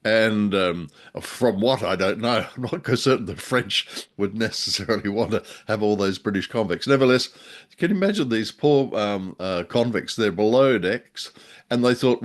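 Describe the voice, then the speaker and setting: Older male co-host, low voice, casual and jokey, rambling unscripted delivery. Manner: rambling, jokey, often repeats words for emphasis. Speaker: podcast co-host.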